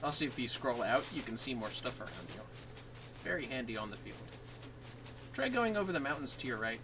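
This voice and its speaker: A man's voice: mid-range voice, sing-song, a little fast, distant mic, background noise. A man chatting casually on a gaming livestream, guiding his partner as they play. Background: game music.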